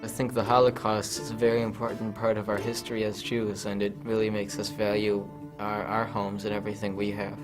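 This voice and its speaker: A man's voice: lowish voice, completely flat delivery, fairly quick, hardly any pauses, over music. A teenage boy asking interview questions in an earnest, plain way. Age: teens. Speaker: student interviewer.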